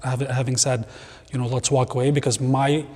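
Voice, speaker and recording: A man's voice: medium pitch, little pitch movement, normal speed, darkish tone. Young guy born in syria, earnest and thoughtful, restarts a lot, long hall echo. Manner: reflective, earnest, with frequent restarts. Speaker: church member, guest. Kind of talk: conversational interview.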